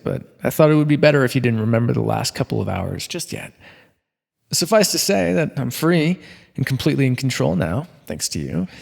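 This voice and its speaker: A man playing a smug, playful supervillain mind controller in an ASMR roleplay, coaxing the listener with theatrical, drawn-out words. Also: mid-range voice, creaky. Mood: gloating, pleased. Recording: long reverberant echo.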